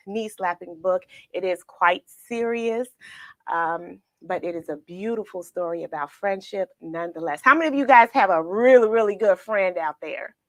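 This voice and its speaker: A woman's voice: mid-range voice, a bit bright, no room sound. A woman hosting a children's read-along livestream, chatting casually and cheerfully to her viewers like a teacher. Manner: cheerful, addressing the audience directly like a children's teacher.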